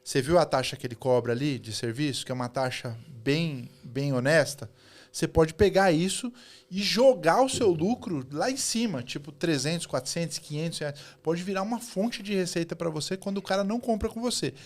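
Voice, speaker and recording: Man hosting a podcast, chatty and teasing, slips into persuasive pitches aimed at his audience. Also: fairly quick. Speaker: podcast host. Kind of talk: casual podcast interview.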